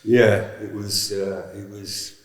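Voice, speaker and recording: A man with a low voice recalls the past in halting phrases, full of uhs and restarts. His voice carries a roomy echo with a long reverb tail. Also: flat delivery. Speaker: former panto cast member. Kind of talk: reflective storytelling. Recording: echoey room with long reverb.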